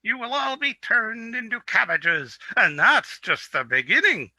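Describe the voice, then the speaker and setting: A man's voice: very high voice, expressive, pushed and bright, no echo, pitched above the performer's own voice, heavily acted. A man voicing a talking goat in a storybook reading, wailing dramatic prophecies of doom. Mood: menacing warning. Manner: wailing, drawn-out theatrical proclamations.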